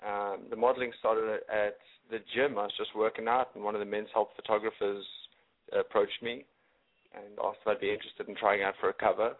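A man's voice: lowish voice, little pitch movement, brisk. A man in his late twenties with a South African accent, answering casually as an interview guest. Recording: thin phone-line sound.

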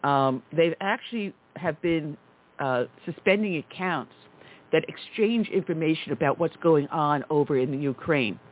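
A woman's voice: low voice, lively intonation, normal speed. A woman hosting a talk radio show, speaking emphatically and with indignation. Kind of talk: conservative talk radio chat. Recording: tinny phone line.

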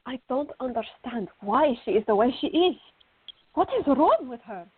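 Through a thin, phone-like sound comes an actress as a feisty young woman with a high, slightly rough voice. She is hot-tempered and argumentative, playing out an indignant radio drama scene in an echoey space. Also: expressive, normal speed. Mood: exasperated. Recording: tinny, band-limited, echoey room.

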